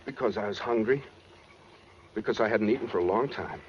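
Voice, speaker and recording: An American actor playing a menacing, brooding killer on the run in a 1940s radio drama, terse and fatalistic. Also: male voice, on the low side, fairly quick, close to an everyday voice. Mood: grim, regretful. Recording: dull, noisy 1940s radio recording.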